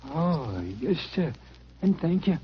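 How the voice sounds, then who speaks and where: A man's voice: a little high, expressive, takes it slowly. A man playing a polite, deferential club servant in a 1940s radio drama, formal and obliging. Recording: dull, noisy 1940s radio recording.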